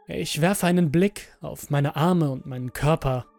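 A man narrates a first-person horror story in a deliberate, dramatic way. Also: a little high, gravelly, darkish tone. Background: background music.

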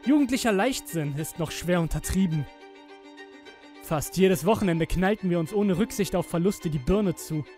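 A young man acting a guilt-ridden, haunted twenty-year-old who gives a halting, emotional confession in a horror audio drama. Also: sits high, animated, a little mellow. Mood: bitter regret. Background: music with violin.

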